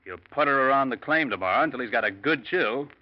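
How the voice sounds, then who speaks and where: Male actor as a no-nonsense pilot, mid-pitched, muffled old-radio sound. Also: lively intonation, fast talker, lightly acted. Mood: steady. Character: practical bush pilot and partner. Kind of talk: 1940s radio drama acting. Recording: dull old radio recording.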